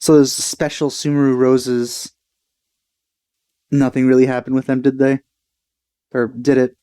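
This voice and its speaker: Man, let's player, soft-edged mellow tone, medium pitch, low-key, reflective, casual game commentary. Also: level tone, unhurried, clear, resonant tone, no echo.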